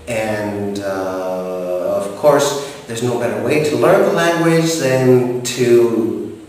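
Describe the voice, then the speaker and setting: A French-speaking man from Montreal in his forties talks formally and pauses often to find his words. He sits well back from the microphone. A very echoey room with a long reverb tail and a faint background hum surrounds his voice. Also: mid-range voice, a little mellow, distant mic. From Montreal, Canada. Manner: formal, measured, frequent hesitations. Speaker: director of operations. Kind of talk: interview answers.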